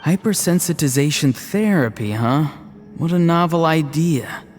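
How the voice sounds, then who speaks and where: A man's voice: on the high side, expressive, measured pace. A man voicing an easygoing, thoughtful, slightly cheeky young tribesman in a video game. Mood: curious. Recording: reverberant, soft music behind.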